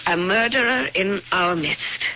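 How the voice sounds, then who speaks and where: An actress playing an anxious, urgently pleading wife in a radio drama. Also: female voice, medium pitch, a little slow, close to an everyday voice. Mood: grim. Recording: muffled, hissy old radio broadcast.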